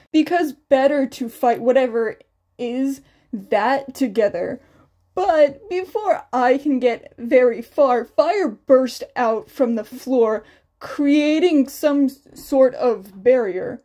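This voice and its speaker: Woman playing a shaken male hero teacher, high-voiced. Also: warm tone. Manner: halting, sighing, emphatic recounting.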